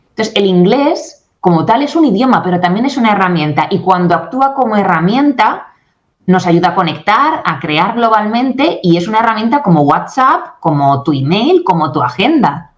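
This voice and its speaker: A woman in her forties from Spain, a guest coach in a radio interview, talking in an animated way and acting out quoted exchanges. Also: medium pitch, lo-fi sound. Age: 40s. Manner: animated, acts out quoted dialogue. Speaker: guest, English communication coach.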